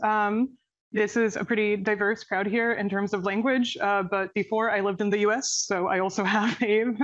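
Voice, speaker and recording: A woman's voice: mid-range voice, fairly even intonation, normal speed, muffled recording. A young woman from Serbia, a postdoc researcher, giving an academic presentation with a nerdy, playful manner and many fillers and self-corrections. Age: young adult.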